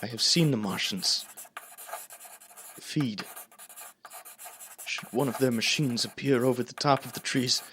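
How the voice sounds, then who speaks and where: A man's voice: mid-range voice, fairly bright tone, slight background noise, natural voice. A young actor playing a haunted professor, a lone survivor solemnly narrating his journal in a theatrical radio drama. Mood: weary, watchful. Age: young adult. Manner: solemn, deliberate, brooding.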